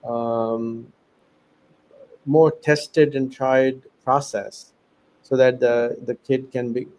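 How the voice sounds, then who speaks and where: A man's voice: medium pitch, little pitch movement, extremely slow, a little mellow, low-quality recording. A man with an accent leaning American, earnestly explaining his ideas in a casual interview, listing examples with restarts and fillers. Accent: non-native English, American-leaning. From Pakistan. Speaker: podcast guest, education founder.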